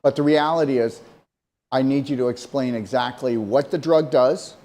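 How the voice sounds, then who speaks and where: A man's voice: medium pitch, moderate pace, dark tone. A man co-hosting a casual talk show, speaking emphatically and rambling, often repeating himself. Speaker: co-host.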